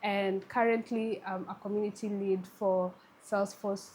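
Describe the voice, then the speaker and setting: A woman with a Kenyan accent speaks as a guest in an interview at a middle pitch. She talks in a friendly way, often restarting her phrases. Faint hiss runs underneath. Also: level tone, moderate pace, darkish tone, poor audio. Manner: friendly, with frequent restarts and fillers. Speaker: guest, tech community lead. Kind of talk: conversational interview.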